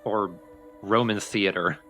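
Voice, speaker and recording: A man's voice: lowish voice, fairly even intonation, takes it slowly, pushed and bright, faint music behind. A man co-commentating on a video game with casual, joking remarks. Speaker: co-commentator of a let's play.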